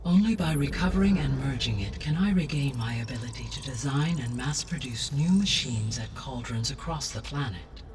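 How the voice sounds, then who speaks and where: A man voicing a calm ancient artificial intelligence in a video game cutscene, speaking in a measured, precise, clinical way. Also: mid-range voice, slight background noise, natural voice. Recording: game cutscene with music, distant.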